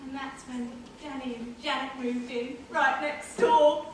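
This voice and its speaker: A woman playing a nosy, over-friendly older male neighbour with drawn-out emphasis in an amateur stage play. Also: high voice, fairly even intonation. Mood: creepily chatty. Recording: echoey hall, far mic, background noise.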